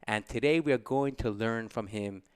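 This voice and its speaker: A man hosting a podcast interview, effusive and quick to laugh, with halting restarts. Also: lowish voice, clean tone. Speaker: podcast host. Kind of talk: casual interview.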